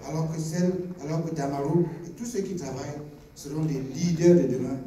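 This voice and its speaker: A Cameroonian man co-hosting a film club, speaking didactically to young people, deliberately and with repeated phrases for emphasis. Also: fairly high pitch, a crowd in the background. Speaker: co-host of a film club discussion. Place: screening hall with audience. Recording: very echoey, noisy hall, distant microphone.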